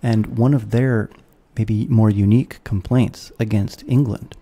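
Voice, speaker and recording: A man in his thirties with an American accent, a guest on a podcast interview who explains history in a measured, lecturing way over a video call. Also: fairly low pitch, steady tone, dark tone, breathy edge. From United States. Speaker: guest philosopher. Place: room at home with guitars. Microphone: studio mic with headphones, video call.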